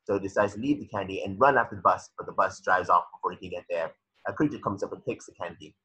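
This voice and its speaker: Fast, raspy man reading stage directions. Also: low voice, pushed and bright, low-quality recording. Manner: matter-of-fact, stumbling over words. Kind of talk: reading a cartoon script aloud.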